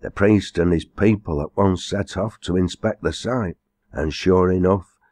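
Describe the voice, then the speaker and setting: A man narrates a folk tale from a book in a low-pitched voice. His delivery is measured and formal. The recording is dry, with very little room sound. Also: a little mellow, dry recording. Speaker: audiobook reader. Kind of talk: scripted storybook narration.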